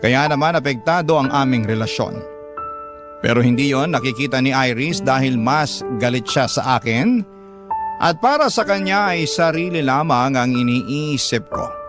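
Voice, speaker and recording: Male narrator, acting as aggrieved boyfriend, radio drama storytelling, first-person confession, clear music bed. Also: medium pitch, lively intonation, normal speed, close to an everyday voice. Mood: troubled. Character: aggrieved boyfriend recounting his troubled relationship.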